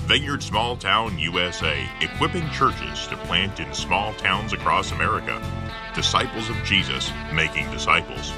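A male narrator with a very deep voice reads a scripted sponsor message. Guitar music plays clearly behind him. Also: sing-song, normal speed.

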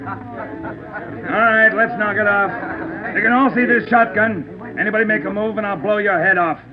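An actor playing a ruthless, curt gang leader giving armed orders in an old-time radio crime drama. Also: male voice, high-pitched, fairly even intonation, normal speed, crowd noise, lightly acted. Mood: barking orders. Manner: menacing, commanding, curt. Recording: muffled vintage radio recording, loud music behind.

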